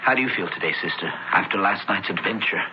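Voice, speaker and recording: An actor playing an earnest, idealistic young brother in a radio drama, with a gentle, persuasive, formal delivery. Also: male voice, low-pitched, quick pace, natural voice. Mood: concerned. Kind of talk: old-time radio drama acting. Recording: dull old radio broadcast, faint noise.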